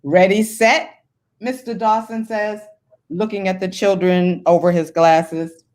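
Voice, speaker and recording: A woman's voice: mid-range voice, steady tone, measured pace, darkish tone. Woman author talking and reading. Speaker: children's book author, guest. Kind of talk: casual interview talk, then storybook reading.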